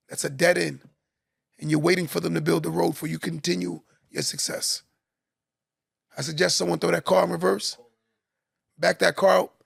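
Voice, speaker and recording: A man's voice: fairly high pitch, creaky, slightly rough, no echo, clean studio sound. A man hosting a live podcast, preaching to listeners and repeating key lines for emphasis. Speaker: podcast host. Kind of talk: motivational live-stream talk.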